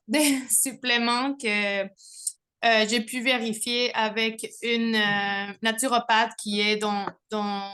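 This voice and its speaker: A woman's voice: fairly high pitch, fairly bright tone. A young woman from Mexico, a mother, giving a chatty testimony from home over a video call, full of fillers and small laughs. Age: young adult. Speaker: testimonial speaker. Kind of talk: casual spoken testimony. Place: home, with children nearby.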